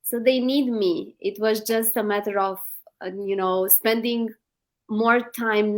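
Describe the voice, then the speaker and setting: A woman's voice: medium pitch, fairly bright tone. A woman in her thirties from Romania, a guest in a live online interview, talking earnestly with many ums and restarts.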